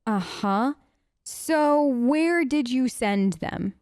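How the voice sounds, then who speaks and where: A woman with a high voice gives casual let's play commentary. She talks in a chatty, playfully skeptical way, improvising dialogue as her game character. The sound is close and dry. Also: animated, extremely slow, darkish tone, no room sound. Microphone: close, dry microphone.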